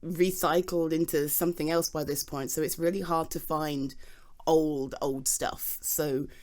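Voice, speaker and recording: Woman acting as a british geology nerd girl, lowish voice, rambly and giggly, explaining stuff to someone close, roleplay audio. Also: steady tone, a little slow, darkish tone. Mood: thoughtful. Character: chatty, self-deprecating geology nerd woman, fond and flustered. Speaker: ASMR roleplay voice performer. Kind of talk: intimate conversational audio roleplay.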